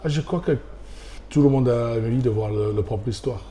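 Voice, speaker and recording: Australian man speaking halting French. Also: medium pitch, mellow. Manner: halting French, restarts often. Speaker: restaurant owner, former rugby player. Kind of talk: interview answers.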